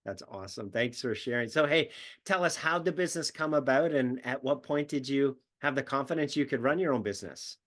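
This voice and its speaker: A man's voice: medium pitch, expressive, fairly quick, a bit bright. A man hosting a business interview show, friendly and laughing often. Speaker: podcast host, business coach.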